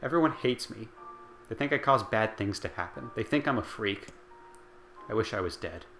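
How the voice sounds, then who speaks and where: A man voicing a lonely, downcast orphan girl who speaks softly and hesitantly. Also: on the low side, lively intonation, moderate pace, reverberant, soft background music. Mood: despairing. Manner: soft, hesitant.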